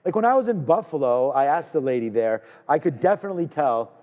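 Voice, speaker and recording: A man from Canada hosting a live trading stream, talking in energetic, rambling casual banter, repeating words and shouting out viewers. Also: fairly high pitch, quick pace, big-room sound.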